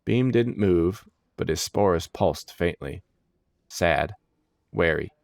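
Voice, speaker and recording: A man's voice: low voice, animated, slow, vocal fry, a little mellow, no room sound, clean studio sound. A man reading scripted fantasy story narration as the narrator.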